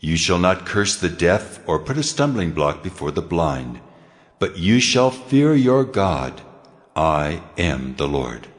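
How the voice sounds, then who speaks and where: Brief: God, very deep, slow and grave, long reverb, faint music bed. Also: male voice, sing-song, exaggerated voice. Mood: solemn. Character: God speaking laws, solemn commanding male deity. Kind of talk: dramatized scripture reading.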